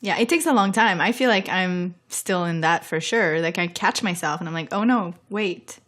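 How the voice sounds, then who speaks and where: A woman's voice: fairly low pitch, moderate pace, a bit bright. A woman hosting a podcast interview, listening attentively and summarizing her guest's points. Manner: attentive, frequent affirming murmurs, summarizes the guest. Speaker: podcast host. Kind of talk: casual conversational interview.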